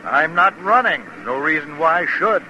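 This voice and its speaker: An actor playing an evasive, smug outlaw suspect in a western radio drama, with a needling manner. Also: male voice, a little high, expressive, normal speed, highs cut off, close to an everyday voice. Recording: noisy old radio recording.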